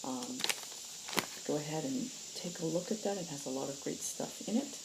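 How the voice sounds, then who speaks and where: A woman's voice: sits low, moderate pace, heavy background noise. A woman reading and hosting a story in the style of audiobook narration. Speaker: story reader and host.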